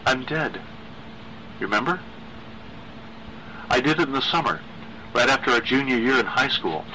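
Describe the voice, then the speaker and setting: Man putting on a stammering, high-strung teenage misfit boy. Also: lively intonation, bright, forceful tone, a little hiss or hum, poor audio. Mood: morbid, hurt. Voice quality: high-pitched, stuttering. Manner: halting, repeating words as he stammers.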